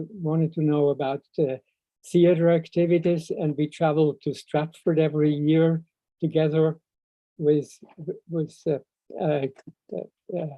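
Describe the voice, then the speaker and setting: Halting older Swiss German man, slow speech. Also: on the high side, warm tone. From Switzerland. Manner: halting, searching for words, frequent long hesitations. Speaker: speaker at a memorial seminar. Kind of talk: informal spoken tribute. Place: video call, seated indoors. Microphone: video call microphone. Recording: muffled, echo-free video call audio.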